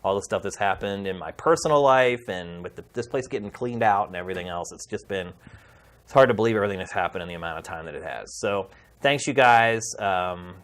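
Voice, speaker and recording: A man's voice: deep voice, lively intonation, fairly quick, a bit bright. Earnest middle-aged man hosting a podcast. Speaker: podcast host. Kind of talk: casual, heartfelt address to viewers.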